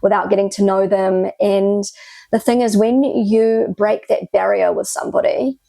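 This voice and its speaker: A woman's voice: medium pitch, steady tone, normal speed, creaky, dark tone, dry recording. A woman from New Zealand with a New Zealand accent, talking earnestly to camera as a vlogger, with reflective "you know" asides. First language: English. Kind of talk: casual talk to camera.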